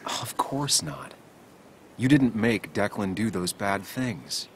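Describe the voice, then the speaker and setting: Gentle, low-voiced consoling man. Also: a little hiss or hum. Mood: tender, consoling. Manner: measured, reassuring, sympathetic. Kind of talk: dramatic video game acting.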